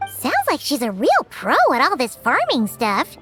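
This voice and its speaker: A woman voicing a tiny, cheeky, excitable childlike companion with a blunt, flippant way of talking in a video game. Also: sits high, a little slow, exaggerated voice. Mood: impressed. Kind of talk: video game cutscene acting. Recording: loud music behind the voice. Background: loud music.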